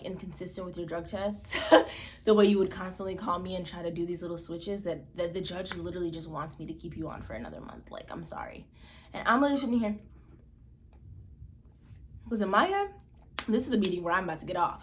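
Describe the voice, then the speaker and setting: Chatty young woman telling a story. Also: background noise. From Malawi. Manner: chatty, expressive, acts out quotes with pitch jumps. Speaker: vlogger. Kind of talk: casual storytime vlog while eating.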